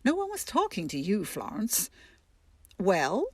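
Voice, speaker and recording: Imperious, grumbling old aunt. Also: female voice, medium pitch, wildly swooping pitch, unhurried, breathy edge, no room sound, heavily acted. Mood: stern. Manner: imperious, scolding. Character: haughty, grumbling old aunt chaperoning her nieces. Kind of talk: audio drama acting.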